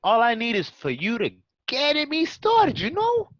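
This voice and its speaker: A man playing a brash, foul-mouthed, excitable young gangster in an amateur radio play. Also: extremely high pitch, unhurried, dry recording, exaggerated voice. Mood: pestering, indignant. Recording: muffled, dry home recording.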